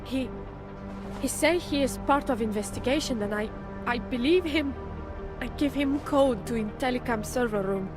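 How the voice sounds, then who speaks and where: Woman acting a jumpy, high-voiced Czech witness. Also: lightly acted. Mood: shaken. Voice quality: broken English with dropped articles. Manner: halting, jumpy, repeating herself. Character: nervous, guilt-ridden woman surveillance worker, frightened witness. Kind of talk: video game cutscene acting. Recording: music playing behind the voice.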